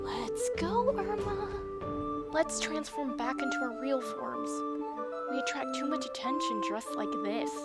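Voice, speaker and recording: A woman's voice: high voice, lively intonation, slow pace, over loud music, heavily acted. A woman playing an earnest, resourceful teenage magical girl in a fan dub. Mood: anxious, urgent. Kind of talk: fan dub character acting.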